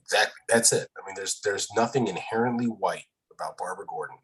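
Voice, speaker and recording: Husky-voiced man in blunt casual chat. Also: sits low, quick pace, fairly bright tone, rough recording. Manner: blunt, informal, emphatic. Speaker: podcast guest.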